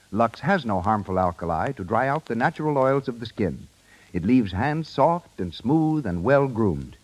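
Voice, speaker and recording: Male announcer, vintage 1930s radio sponsor read, scripted, highly animated delivery. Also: rough recording.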